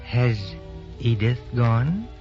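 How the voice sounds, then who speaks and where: A man's voice: medium pitch, big pitch swings, extremely slow. An actor playing a forgetful old grandfather who dotes on his wife, testy and rambling, in a sentimental radio drama. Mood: drowsy, fond. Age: older. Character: feeble, forgetful old grandfather, doting husband. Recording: muffled old radio recording with music.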